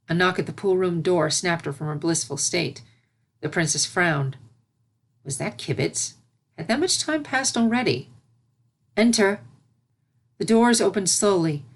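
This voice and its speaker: A woman narrating a scripted story in the style of a storybook reading. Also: lowish voice. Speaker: narrator, fan fiction reader.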